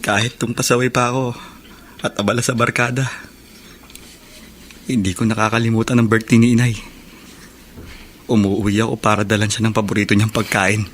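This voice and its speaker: An actor with a Filipino accent playing a remorseful, devoted young son in a radio drama, speaking earnestly and with emotion. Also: male voice, on the low side, level tone, brisk, long pauses, fairly bright tone, slight background noise, lightly acted. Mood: subdued, earnest. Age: young adult. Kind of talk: Tagalog radio drama acting. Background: background music.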